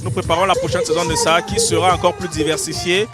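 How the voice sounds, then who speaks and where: A male director speaks in an even, flat voice that sits a little high, answering questions with quiet pride and small hesitations. He is outdoors at a festival, with music playing clearly behind him. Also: fast. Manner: proud, even-toned, with hesitations. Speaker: series director. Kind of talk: interview answer.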